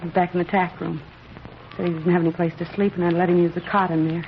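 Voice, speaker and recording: A woman's voice: fairly low pitch, level tone, quick pace, natural voice. An American woman playing an anxious, chatty wife who is fed up with her stepbrother, in a 1950s radio drama. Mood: weary, indignant. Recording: dull, noisy vintage radio recording.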